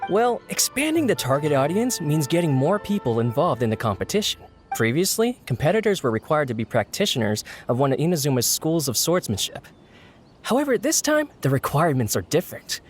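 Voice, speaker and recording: A man's voice: fairly high pitch, big pitch swings, fairly quick, faint music behind. An actor playing a courteous, earnest male organiser in a video game, speaking formally and explaining eagerly. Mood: eager, explanatory. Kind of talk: animated game voice acting.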